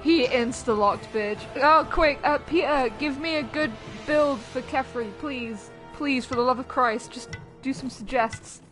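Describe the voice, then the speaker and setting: A young woman with a Yorkshire accent chatting excitably and swearing while playing a game with a friend. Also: sits high, measured pace, hardly any pauses. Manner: excitable, swears, laughs often. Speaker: streamer. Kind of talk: casual gaming chat. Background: game music.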